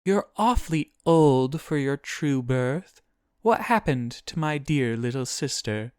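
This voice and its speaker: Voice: mid-range voice, expressive, slow, darkish tone, smooth, dry recording, clean studio sound, voice pushed up. A narrator voicing a naive, chatty adventurer who is guileless, blunt and full of questions. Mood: cheerfully blunt.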